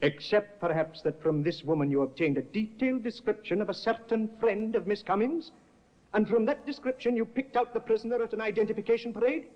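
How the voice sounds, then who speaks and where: An actor playing a shrewd, polished defense barrister in a 1950s radio drama, speaking in a measured, probing way. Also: male voice, high-pitched, lightly acted. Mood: cross-examining. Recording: dull lo-fi old radio broadcast.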